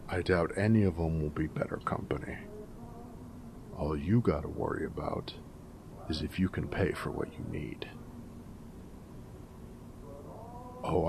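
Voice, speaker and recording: A man playing a snarky, easygoing ghoul drifter with a gravelly rasp, chatting wryly in slangy drawl in a post-apocalyptic roleplay audio. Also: very deep voice, background noise, full character voice. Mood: dismissive, snarky. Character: snarky, easygoing male ghoul, ex-bush pilot wasteland drifter. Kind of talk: character roleplay audio monologue in a post-apocalyptic game setting.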